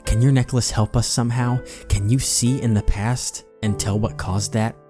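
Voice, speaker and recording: Man putting on melodramatic gossiping young women, pitched up. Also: fairly even intonation, moderate pace, over music, lightly acted. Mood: excited, anxious. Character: excitable young women gossiping over a crush.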